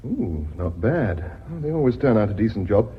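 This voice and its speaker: An actor playing a bitter, lovelorn British chief accountant in a vintage radio play, formal, wry and clipped. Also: male voice, lowish voice, sing-song, normal speed. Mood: anxious. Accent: British English. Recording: muffled, hissy old radio recording.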